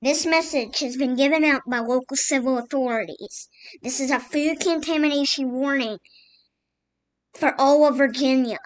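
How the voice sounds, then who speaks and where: A woman reading a scripted mock emergency broadcast as an announcer, in a formal, halting manner. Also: high-pitched, low-quality recording.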